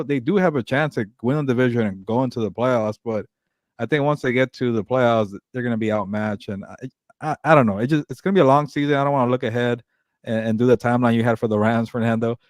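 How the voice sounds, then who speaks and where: A man's voice: mid-range voice, no room sound. A man talks sports on a casual show. He teases his co-host and stumbles over repeated words, recorded close and dry. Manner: teasing, hesitant, repeats words.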